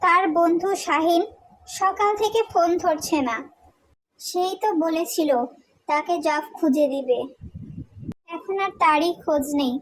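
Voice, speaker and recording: A woman's voice: very high voice, measured pace, pauses often, poor audio. A woman narrating a cartoon folk tale in a scripted storytelling style.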